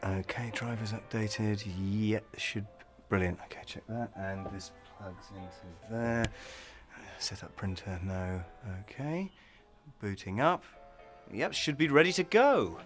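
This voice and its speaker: A man's voice: fairly low pitch, lively intonation, unhurried, faint music behind. An actor playing an affable, chatty funeral director who loves his gadgets, slightly hesitant, in an audio comedy. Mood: busy, distracted. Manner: friendly, chatty, mildly hesitant.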